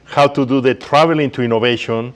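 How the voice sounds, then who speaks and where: A man's voice: mid-range voice, steady tone, quick pace. Non-native English-speaking male interview host. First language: not English. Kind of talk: casual live interview. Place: community tech workspace, live event.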